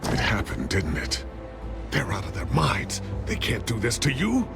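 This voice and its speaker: An actor playing a grave, loyal confidant in a video game, speaking in a hushed, urgent manner. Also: male voice, medium pitch, wildly swooping pitch, measured pace, vocal fry, full character voice. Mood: worried, hushed. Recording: very loud music behind the voice.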